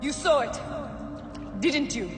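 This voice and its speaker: A woman's voice: sits high, animated, slow pace. An actress playing a fanatical, grieving mother turned murderous villain in a video game, theatrical and intense. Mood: bitter, defeated. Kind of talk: dramatic video game acting. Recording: cavernous echo with long reverb, loud music.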